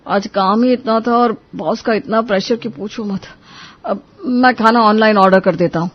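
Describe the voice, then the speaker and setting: A young office worker played by a man in an audio drama, with a high-pitched voice. He reasons and placates in everyday colloquial speech, and the recording is dull and muffled. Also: moderate pace. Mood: weary, apologetic. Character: young office worker, weary husband or advising friend.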